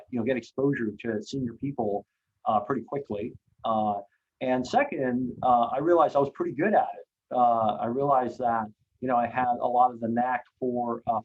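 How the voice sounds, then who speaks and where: A man's voice: mid-range voice, phone-quality sound. A man in his fifties who grew up in Hong Kong, telling his career story as a guest speaker on a video call, full of "uh" fillers and candid about himself. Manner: frequent "uh" fillers, candid and self-deprecating.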